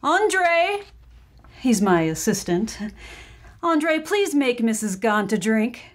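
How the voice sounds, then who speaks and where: An actress playing a gracious, solemn seance medium in an old-time radio drama, speaking formally and deliberately. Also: female voice, a little high, sing-song. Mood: solemn, instructing.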